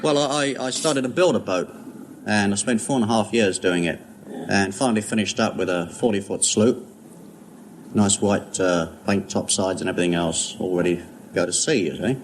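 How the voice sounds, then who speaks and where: A man's voice: fairly low pitch, normal speed. A man chatting as a quiz contestant on a 1950s show, telling tales in a hesitant, understated way with jaunty slang. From outside the United States. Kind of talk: casual anecdotal chat. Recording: 1950s radio recording, faint noise.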